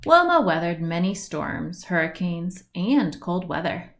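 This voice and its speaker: Woman reading a storybook with lively intonation. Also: fairly low pitch, unhurried. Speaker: reader of a children's picture book. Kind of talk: read-aloud storybook narration. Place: indoors at home, at a wooden table by a window.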